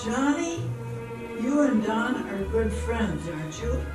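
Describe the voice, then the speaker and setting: A woman acting as a polite, wistful dancer in an amateur radio drama, gentle and hesitant. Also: a little high, sing-song, slow. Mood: curious. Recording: very echoey hall from far off, music.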